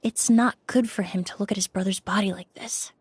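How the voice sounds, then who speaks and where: A woman voicing a composed, courteous young investigator in a video game, speaking in a measured, precise and polite way. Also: medium pitch, fairly even intonation, a little mellow, slight background noise, lightly acted. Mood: gentle, grave. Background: background music.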